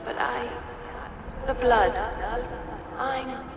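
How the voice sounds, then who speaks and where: A woman voicing a sorrowful, confused spirit in a video game, speaking in halting, dreamy fragments. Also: fairly high pitch, steady tone, noisy background. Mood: dazed, mournful. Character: sorrowful confused female spirit echoing a dead woman's memories. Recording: cavernous echoing reverb, distant, music behind.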